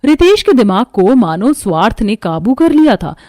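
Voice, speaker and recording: A woman's voice: medium pitch, sing-song, moderate pace, warm tone. A woman reading scripted narration for a horror story.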